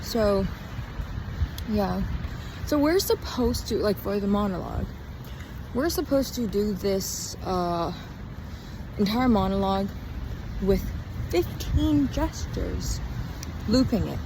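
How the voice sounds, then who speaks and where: Young female podcaster, weary and halting, unhurried casual diary ramble, clearly noisy outdoor recording with traffic. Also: medium pitch, animated. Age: young adult. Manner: halting, trailing off mid-thought. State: tired. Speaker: podcast host. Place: outdoors on a walk in a town. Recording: outdoor street noise with passing cars. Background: traffic and urban ambience.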